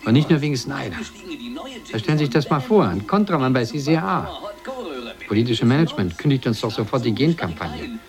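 A man's voice: mid-range voice, very animated, brisk, music in the background. Clipped, calculating male media boss. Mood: cautious, probing. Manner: clipped, instructing. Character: calculating male media executive, businesslike and controlling. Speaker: actor. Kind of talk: 1980s German radio drama acting.

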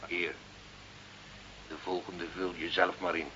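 A man acting as a fatherly, pious village priest in a radio play, gently scolding in an unhurried way. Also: sits low, flat delivery. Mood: hopeful, coaxing. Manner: unhurried, pious, fond of quoting scripture. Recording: muffled, hissy old radio recording.

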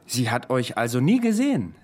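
An actor playing a scheming, insistent confidant in a period radio drama, speaking in archaic formal German. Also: male voice, medium pitch, sing-song, normal speed, darkish tone, husky. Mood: sly, coaxing. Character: scheming male confidant urging and scolding a poet friend.

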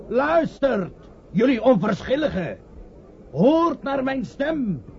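Casting: fire-and-brimstone street preacher, very high-pitched, swooping, declamatory radio-play delivery. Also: male voice, a little mellow, exaggerated voice. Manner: solemn, accusing, drawn-out oratory. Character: fanatical male street preacher warning sinners of doom. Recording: muffled, noisy old radio drama recording.

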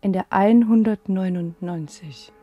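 Slow-spoken, wistful woman actress in radio drama. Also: medium pitch, muffled recording, natural voice. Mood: wistful, reflective. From East German mountain village. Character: earnest, dreamy, unsettled East German working woman, Frau Baldauf. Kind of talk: German radio play acting, intimate monologue and dialogue.

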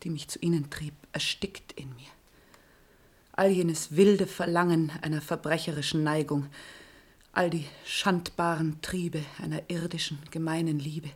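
An actress playing a penitent, devout noblewoman in a classical radio play, earnest and solemn, with a breathy, husky voice. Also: fairly low pitch, darkish tone. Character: penitent, devout noblewoman pleading with former lover.

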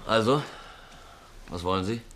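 A man's voice: on the low side, unhurried, fairly bright tone. An actor in a 1950s German radio crime drama playing a brusque, world-weary newspaper man with an offhand, dry manner. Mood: offhand, grudging. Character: brusque, world-weary male newspaper reporter, casually impatient. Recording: noisy old 1950s radio recording.

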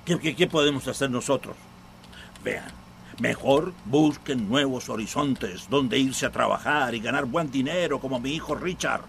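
A man playing a weary, resigned older father in a radio drama, speaking in a halting, dismissive way. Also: mid-range voice, creaky, a bit bright, slight background noise. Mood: skeptical.

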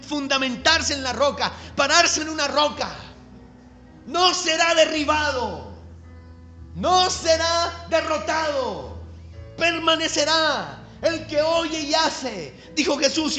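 A man with a coastal Colombian accent preaching a sermon to a congregation, emphatic and slowing down to stress key phrases. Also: extremely high pitch, pushed and bright. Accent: Colombian Caribbean coastal. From Caribbean coast of Colombia. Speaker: pastor. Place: church hall. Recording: echoey church hall.

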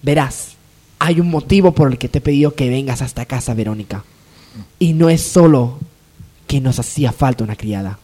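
A woman's voice: rumbling low, normal speed, warm tone. Haughty, scheming soap villainess, played by a woman. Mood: menacing. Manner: melodramatic, imperious. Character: haughty, scheming, shrill lady of the hacienda. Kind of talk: parody radio soap opera acting.

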